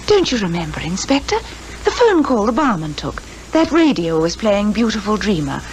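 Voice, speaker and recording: An English actress playing a timid, fretful, admiring secretary to a detective in a 1950s radio drama. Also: female voice, mid-range voice, sing-song, moderate pace, darkish tone, slight background noise, lightly acted, rough recording. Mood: anxious, curious. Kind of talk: 1950s British radio acting. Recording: 1950s radio recording with music behind.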